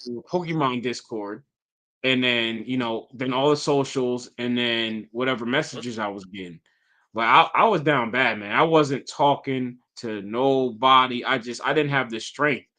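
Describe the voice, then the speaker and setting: A man's voice: medium pitch, a little slow. A man co-hosting a gaming podcast, chatting casually about being sick with the flu, still slightly nasal and rambling with fillers. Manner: relaxed storytelling, lots of fillers. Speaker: podcast co-host. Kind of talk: casual chat.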